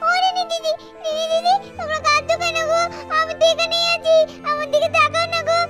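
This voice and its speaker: A little girl ghost with an extremely high, childlike voice. She is innocent and bewildered, calling out again and again in pleading tones. It is doll-cartoon acting with music playing behind. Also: level tone, a little fast, a bit bright. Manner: childlike, repetitive, pleading calls.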